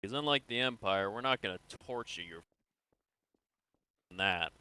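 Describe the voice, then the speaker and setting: A man playing a calm, reassuring interrogator in improvised sci-fi game roleplay, casual and coaxing. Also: fairly low pitch, normal speed, clean tone, no echo, poor audio.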